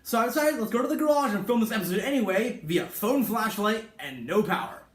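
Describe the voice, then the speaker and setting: Higher-pitched man reviewing in an echoey room. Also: animated, fairly quick, fairly bright tone. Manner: blunt, opinionated, rambling. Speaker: video reviewer and host. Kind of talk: informal video essay commentary to camera. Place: home garage, indoors. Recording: echoey room with faint background noise.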